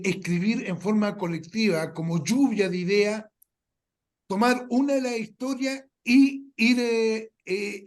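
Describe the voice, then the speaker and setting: A man co-leading a workshop, explaining informally in a hesitant, halting way. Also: high voice. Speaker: workshop co-leader.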